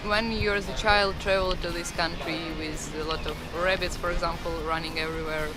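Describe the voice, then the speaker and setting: A woman's voice: on the low side, steady tone, fairly quick, loud music behind the voice. A young woman from Mexico, a student answering interview questions cheerfully. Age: young adult.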